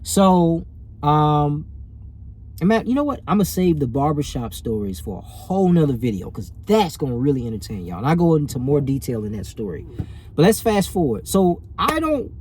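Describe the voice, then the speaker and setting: A man's voice: a little high, big pitch swings, normal speed, darkish tone, a little hiss or hum. A man, telling a personal story casually and animatedly, as if to viewers. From rural Alabama, US. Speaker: vlogger telling personal stories.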